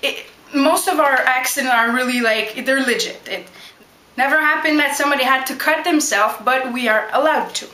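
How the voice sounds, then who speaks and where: Bright-voiced young woman, chatty. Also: high voice, normal speed. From Montreal, Quebec, Canada. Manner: chatty, direct, keeps asking "you know what?". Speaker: backyard wrestler. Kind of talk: casual Q&A vlog. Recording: echoey room.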